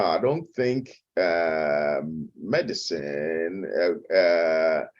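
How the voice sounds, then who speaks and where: A middle-aged man with a Ghanaian accent, a guest expert answering interview questions slowly, with frequent hesitant fillers. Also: low voice, darkish tone, lo-fi sound. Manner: measured and slow, with many hesitant "uh" fillers. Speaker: guest expert, nutrition professor.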